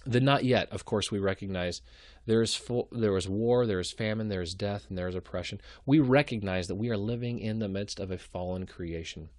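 A man's voice: lowish voice, a little fast, darkish tone, no room sound. A man in his thirties giving a recorded theology lecture from a small home office, speaking earnestly and building emphasis through repeated phrases. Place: home office with bookshelves, indoors. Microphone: webcam at desk.

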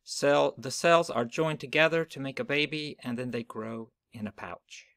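Male storybook narrator, even and gentle, dry home recording. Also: mid-range voice, normal speed, no echo. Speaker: reader of a children's book. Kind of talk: reading a picture book aloud.

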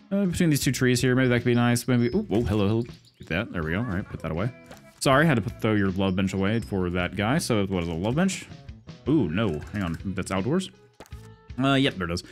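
Fast-talking, congested male let's-play host, animated and rambling, soft music underneath. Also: medium pitch. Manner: chatty, thinking aloud with many fillers. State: congested, stuffy from a cold. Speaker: gaming video host. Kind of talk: casual let's-play commentary.

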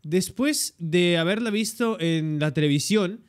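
High-voiced young man from Spain, reacting. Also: a little slow. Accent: Peninsular Spanish. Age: young adult. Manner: excitable, interjecting. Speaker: reaction video host. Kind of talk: reaction commentary, translating aloud. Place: indoor room, webcam reaction setup. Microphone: desktop streaming microphone.

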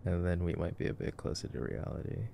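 A man playing a gentle, nerdy boyfriend in an ASMR sleep-aid roleplay, chatting softly and playfully about a film. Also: sits low, quick pace, creaky, a little hiss or hum. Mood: playful, amused.

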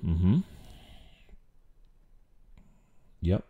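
A man with an Australian accent playing a strict, sarcastic, exasperated maths teacher in an ASMR roleplay, speaking deliberately with pointed pauses. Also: narrow, tinny audio, lightly acted. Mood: dryly encouraging.

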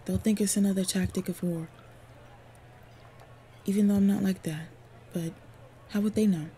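A woman playing a devoted, protective female orc warrior lover in an intimate fantasy roleplay audio, speaking softly, earnestly and often breathily. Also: medium pitch, fairly even intonation, moderate pace, lightly acted. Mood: worried, hesitant. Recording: clear background ambient noise. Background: ambience sound effects.

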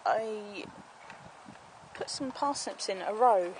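A woman's voice: mid-range voice, slow pace, darkish tone, poor audio. Chatty woman filming her allotment plot. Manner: chatty, homely, explains as she goes. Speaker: allotment gardener. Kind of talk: casual walking tour commentary to camera. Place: outdoor allotment garden plot. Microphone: handheld camera, speaker off screen. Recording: outdoor camera audio with clear background noise.

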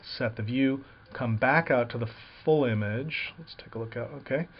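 A man narrates a step-by-step software walkthrough in a plain, explanatory way, pausing often with uh and um. His voice sits at an ordinary middle pitch. The recording is dull and lo-fi, made at a desktop computer. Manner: plain explanatory, frequent "uh" and "um" fillers. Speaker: software tutorial presenter.

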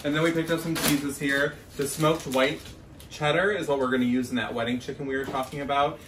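A man's voice: mid-range voice, flat delivery, a little fast. A young man co-hosting a casual vlog, chatting effusively to the camera. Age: young adult. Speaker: vlog co-host. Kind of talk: casual grocery haul chat to camera. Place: small kitchen at home, indoors. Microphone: camera a few feet away. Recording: roomy, distant mic, faint noise.

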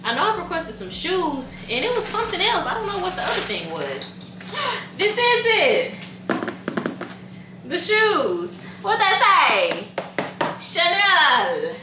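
A young woman with a Southern American accent vlogging casually from her apartment kitchen, chatty and excitable. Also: very high voice, slow. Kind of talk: casual vlog chat. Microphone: camera at a distance. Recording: echoey room, clear background noise.

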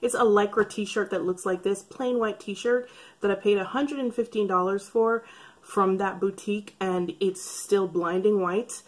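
A woman in her thirties, a beauty vlogger, talking casually to camera in a chatty, wry way with a slightly raspy voice from breathing trouble. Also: medium pitch, fairly even intonation, unhurried. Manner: chatty, wry, rambling asides. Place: bright room by a curtained window. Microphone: camera framed head and shoulders.

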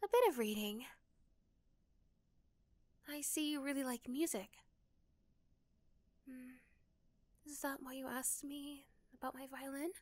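Sweet, high-voiced young girl next door, acted by a woman. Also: slow pace, long pauses, no room sound. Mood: shy, subdued. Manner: soft, hesitant, gentle. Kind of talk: ASMR roleplay acting.

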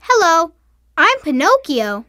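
A woman voicing an excitable young child in a children's English-lesson cartoon, exclamatory and carefully enunciated. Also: extremely high pitch, slow pace, no room sound, studio quality, heavily acted. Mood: excited.

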